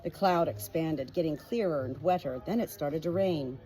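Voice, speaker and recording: A woman with a slightly husky voice narrating a storybook from a script. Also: low voice, moderate pace, warm tone. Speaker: audiobook reader.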